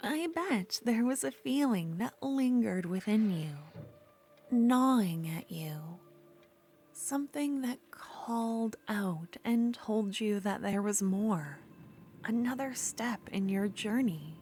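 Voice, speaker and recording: A woman playing an obsessive, possessive yandere duelist in an ASMR fantasy roleplay, speaking intimately and moving between soft speech and breathy whispers. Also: fairly high pitch, expressive, slow pace, slight background noise. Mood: knowing, seductive.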